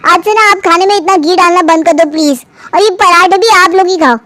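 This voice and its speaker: A woman's voice: very high voice, brisk, sharp tone, no echo. A woman voicing a fussy, health-conscious young adult son who protests to his parents. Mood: protesting.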